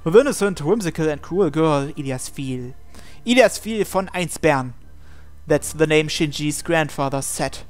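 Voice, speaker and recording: Crisp-voiced man reading a visual novel. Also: fairly high pitch, lively intonation, measured pace. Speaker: gaming video host. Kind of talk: reading visual novel text aloud with asides.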